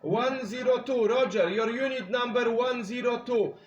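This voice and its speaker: A man's voice: extremely high pitch, normal speed, reverberant, muffled recording, noisy background. A man from Poland with a Polish accent, a native Polish speaker working as a CB radio operator, making contact calls in short, repeated procedural phrases.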